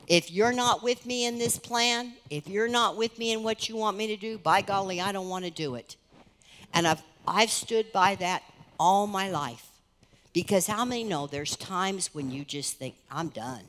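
A woman's voice: mid-range voice, normal speed. Middle-aged lady pastor preaching, earnest and chatty, big church room with long echo. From United States. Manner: earnest, conversational preaching with lively rises and soft drops. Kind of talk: sermon. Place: large church stage, indoors. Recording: reverberant church hall.

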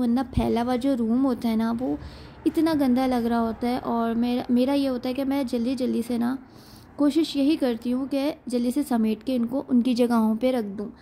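A woman's voice: fairly high pitch, warm tone, slight background noise. A young woman, narrating her day in a chatty voice-over and leaning on a lot of "aur jo hai" fillers. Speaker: lifestyle vlogger. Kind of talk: casual voice-over narration of daily life.

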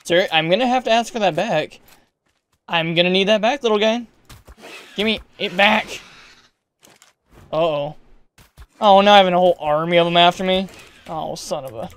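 High, smooth-voiced young gaming streamer. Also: male voice, moderate pace, pauses often, dry recording. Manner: chatty, excitable, yells at creatures. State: hoarse, losing his voice. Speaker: gaming YouTuber. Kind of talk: casual gameplay commentary.

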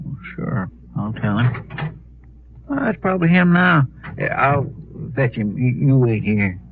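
An actor playing a folksy, deferential, slightly flustered marshal's helper in a 1950s radio western, with a homely, hesitant way of talking. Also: male voice, mid-range voice, sing-song, a little slow. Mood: friendly, easygoing. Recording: muffled, thin, noisy old radio recording.

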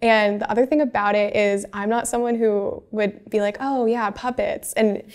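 A woman's voice: mid-range voice, steady tone, moderate pace, a little mellow, clear, resonant tone. A young adult woman interviewing a guest in a studio, upbeat and enthusiastic, on a lapel mic. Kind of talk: casual interview questions. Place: bright studio set, indoors. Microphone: small lapel mic.

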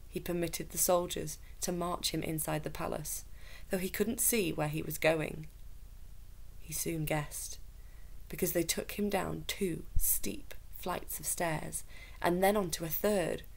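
A young woman with a slightly low voice reads a children's story aloud. Her narration is expressive, and she is recorded at desk distance in a small room. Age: young adult. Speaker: teacher reading a story aloud. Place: small plain room indoors.

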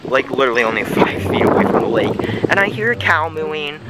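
A man's voice: a little high, big pitch swings, a little fast, very noisy. Jittery young man vlogging in woods. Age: young adult. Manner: jittery, melodramatic, easily spooked. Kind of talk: casual selfie vlog. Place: outdoors in sunny woods. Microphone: phone held at arm's length.

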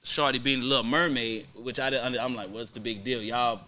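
A young American man with a very bright, forceful voice at a middle pitch. He talks casually into a close studio mic, full of slang and swearing. He debates like a podcast host who holds his ground. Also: steady tone, fairly quick, a little hiss or hum. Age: young adult. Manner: slangy, profane, argumentative. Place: small podcast studio, indoors. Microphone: close boom-arm microphone.

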